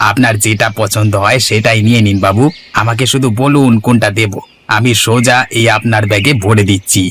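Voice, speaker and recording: A man's voice: lowish voice, fairly even intonation, brisk, fairly bright tone, a little hiss or hum, natural voice. A man voicing an eager, ingratiating village fish seller in a cartoon. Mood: eager, fawning. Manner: ingratiating sales patter. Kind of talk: cartoon village drama acting.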